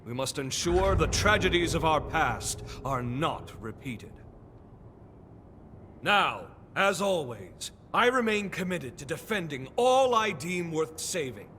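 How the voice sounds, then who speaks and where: A man's voice: on the high side, big pitch swings, slow, bright, forceful tone, slight background noise, full character voice. An actor playing a flamboyant, centuries-old nobleman in a video game, with a theatrical, courtly and pompous delivery. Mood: courtly, delighted. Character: flamboyant, noble, centuries-old immortal man, grandiose and devoted. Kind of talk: video game character acting.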